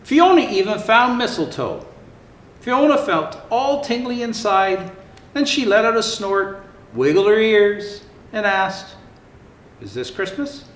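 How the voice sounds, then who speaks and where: Male children's storyteller, high-pitched and theatrical, stretching words for emphasis. Roomy, echoing sound. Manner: expressive, theatrical, with drawn-out emphasis. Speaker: storyteller dressed as Santa Claus. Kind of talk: animated children's picture-book reading. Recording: roomy echo, faint background noise.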